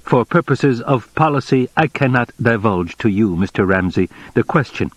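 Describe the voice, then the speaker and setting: A man reading a novel aloud, voicing a formal, measured middle-aged Japanese official who speaks with precise, deliberate courtesy. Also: mid-range voice, animated, dry recording, pitched above the performer's own voice, lightly acted.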